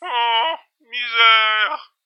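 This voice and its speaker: A man's voice: very high voice, very slow, clear, resonant tone, no room sound, dull audio, heavily acted. A man playing an anxious, courteous factory director in a science-fiction audio drama, speaking in a formal, theatrical way. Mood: alarmed, distressed. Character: anxious, courteous male director of a secret high-tech factory.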